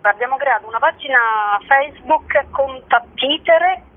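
A woman's voice: high voice, normal speed. A woman, a festival director, answering questions as an interview guest over the telephone. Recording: narrow tinny phone line.

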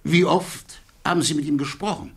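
An actor playing a defence attorney in a radio play, putting pointed, deliberate questions in cross-examination. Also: male voice, on the high side, steady tone, vocal fry, slightly rough. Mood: probing.

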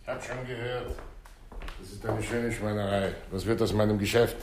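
An actor as a grumbling innkeeper, roomy sound. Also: male voice, fairly low pitch, fairly even intonation, moderate pace, close to an everyday voice. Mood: aggrieved. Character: grumbling, aggrieved male innkeeper. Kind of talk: 1960s German radio crime drama acting. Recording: roomy, noisy early-1960s radio recording.

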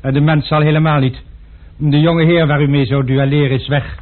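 An actor playing a sly, deferential manservant who was once a pickpocket, in a radio play with dry ironic remarks. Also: male voice, a little high, level tone, brisk, lightly acted. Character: sly, unflappable male valet, former pickpocket. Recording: muffled lo-fi archive radio play.

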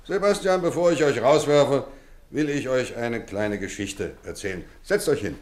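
A middle-aged man playing a kindly but strict boarding-school housemaster in a 1950s radio play, talking warmly with measured, gently teasing phrasing. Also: medium pitch, animated, slight background noise, close to an everyday voice. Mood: storytelling. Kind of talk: 1950s radio drama acting. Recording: roomy studio echo.

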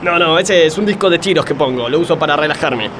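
An Argentine actor playing an unflappable taxi driver in a comic radio play. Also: male voice, a little high, quick pace, background noise, close to an everyday voice. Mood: reassuring. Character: unflappable male taxi driver in absurd comedy. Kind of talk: comedic radio play acting.

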